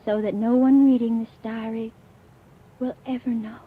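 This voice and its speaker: A woman playing a deranged, gloating murderous patient in a theatrical, halting 1940s radio style. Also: on the high side, steady tone, husky. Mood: brooding, secretive. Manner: theatrical, halting, lingering over words. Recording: muffled old radio recording, music underneath.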